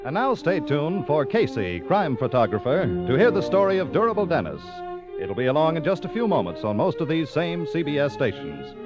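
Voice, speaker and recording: Vintage radio announcer, man, formal and lively-pitched, muffled recording with music behind. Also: fairly quick. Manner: formal, declarative delivery. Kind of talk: 1940s network radio announcing, scripted.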